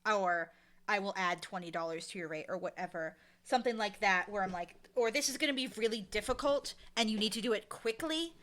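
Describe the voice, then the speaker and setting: Bright, animated woman chatting as a guest. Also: medium pitch, brisk. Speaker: audio drama showrunner and writer, interview guest. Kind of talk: casual interview chat.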